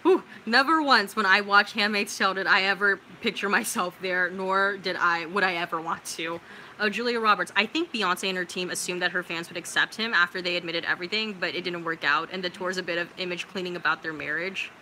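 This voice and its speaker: Bright-voiced young American woman livestreamer chatting. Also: lowish voice, brisk, slight background noise, low-quality recording. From California, United States. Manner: informal, expressive, slangy and swearing. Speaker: livestream host. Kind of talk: casual livestream chat, reading out viewer comments and reacting.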